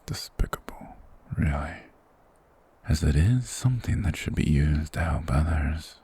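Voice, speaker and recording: A man performs a mysterious monster who lives secluded in the woods, for an ASMR fantasy roleplay. The voice is extremely deep and heavily creaky, wrapped in a very husky, breathy whisper. The creature speaks in measured, old-fashioned courtesy, softly persuasive and quietly eerie. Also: lively intonation, heavily acted. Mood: softly probing.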